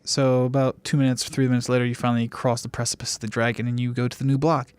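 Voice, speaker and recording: A man's voice: medium pitch, flat delivery, quick pace, a little mellow, clean tone, no echo. Man narrating a game, dry close sound. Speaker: game master. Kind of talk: improvised narration for a tabletop game podcast.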